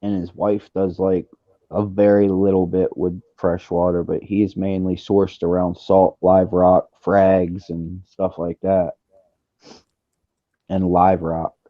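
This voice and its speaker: A man's voice: low voice, dry recording. A man around thirty on a gaming headset, chatting casually and rambling with fillers. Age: 30s. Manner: laid-back, rambling with fillers. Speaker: streamer. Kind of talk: casual chat. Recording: dull lo-fi stream audio.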